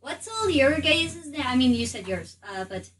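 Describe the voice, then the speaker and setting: High-pitched, halting woman at a game table. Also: very animated, fairly bright tone. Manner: halting, repeats words as she goes. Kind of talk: casual in-character role-play. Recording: distant mic, faint room noise.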